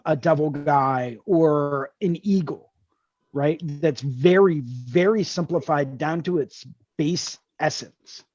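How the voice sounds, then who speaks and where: A man's voice: a little high, a little mellow, no echo, muffled recording. A man hosting a tattoo drawing group over a video call, explaining ideas earnestly and repeating key words. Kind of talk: casual mentoring chat on a video call.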